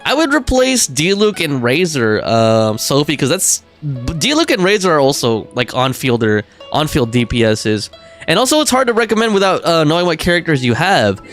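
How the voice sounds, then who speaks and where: A man's voice: fairly high pitch, very animated, moderate pace, fairly bright tone, soft background music. A man livestreaming a game, chatting casually with viewers and reading their messages aloud.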